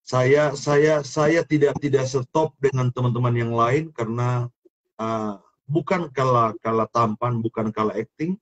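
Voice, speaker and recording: A middle-aged man with a strong Sulawesi accent, telling his life story as an interview guest, often repeating and restarting his words. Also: mid-range voice. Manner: repetitive, halting, many restarts. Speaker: guest, former film actor turned minister. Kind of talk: testimony interview.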